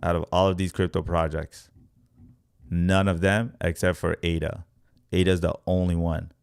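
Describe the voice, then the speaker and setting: Low, creaky-voiced man teaching. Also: little pitch movement, moderate pace, no echo, clean studio sound. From born in Congo, Africa. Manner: emphatic, repeats points, checks in with "right?". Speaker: host, crypto trading educator. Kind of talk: live chart commentary, explaining to viewers.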